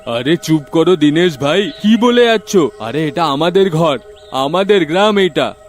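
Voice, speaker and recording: A man's voice: on the high side, expressive, measured pace, soft background music. A man voicing a scheming, greedy male village headman who barks orders and cackles in a cartoon dub. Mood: bossy. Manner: barking orders, gloating cackle. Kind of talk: cartoon dubbing.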